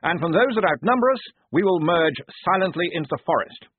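A man's voice: high-pitched, animated, fairly quick, slightly rough, dry recording, pitched above the performer's own voice. A man voicing a pompous, earnest young army lieutenant who speaks formally and precisely. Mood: confident. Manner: formal, precise officer's speech. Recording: tinny phone-like dry recording.

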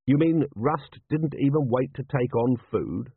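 A man's voice: medium pitch, expressive, a little slow, vocal fry, slightly rough, dry recording, voice pushed up, exaggerated voice. A man voicing a weary, indignant watch commander, blunt and commanding, in an audiobook. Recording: thin, band-limited, phone-like sound.

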